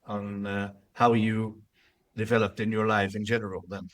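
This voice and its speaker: Hesitant low-voiced male host. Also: level tone, moderate pace, a bit bright. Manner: hesitant, repeats words while forming questions. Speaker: podcast host. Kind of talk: interview questions.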